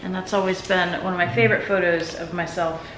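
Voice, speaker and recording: A woman's voice: on the low side, little pitch movement, fairly quick. A woman hosting a home vlog, chatting casually as gifts are opened. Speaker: vlog host. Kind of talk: casual chat while opening gifts. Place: indoors at home, roomy space. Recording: roomy echo, noticeable background noise.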